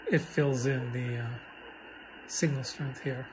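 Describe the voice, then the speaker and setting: A man's voice: medium pitch, fairly even intonation, slow pace. A man giving a casual hands-on demonstration of a gadget as a hobbyist. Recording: noisy, radio static in room. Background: shortwave radio static and chatter.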